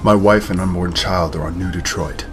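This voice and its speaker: Man playing a deep-voiced, subdued, anxious spaceman. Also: fairly even intonation, normal speed, noisy background, lightly acted. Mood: subdued, worried. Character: anxious, subdued male human crewman. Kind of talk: sci-fi audio drama acting. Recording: music behind voice.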